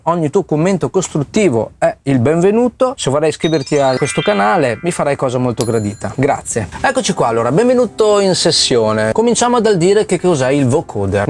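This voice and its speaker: A man's voice: fairly high pitch, darkish tone. A man presenting a tutorial in a casual, explanatory way, speaking directly to the viewer. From Italy. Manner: conversational, addresses viewer directly. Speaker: music production tutorial host.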